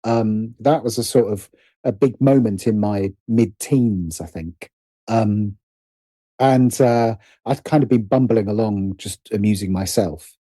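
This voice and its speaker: A middle-aged man with a British accent speaks as an interview guest. His voice is fairly low and very soft-edged, recorded dry. He talks casually, hesitating and gently putting himself down as he thinks aloud. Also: measured pace, dry recording. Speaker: podcast guest, writer and producer. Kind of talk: casual reflective interview chat.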